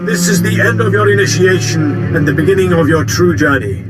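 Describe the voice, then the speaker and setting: An actor playing an imperious hidden cult master in a video game, speaking in solemn, commanding ceremonial tones. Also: male voice, fairly high pitch, a little fast, heavy background noise, lightly acted. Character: imperious hooded male cult master in a ritual. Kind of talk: video game dialogue acting.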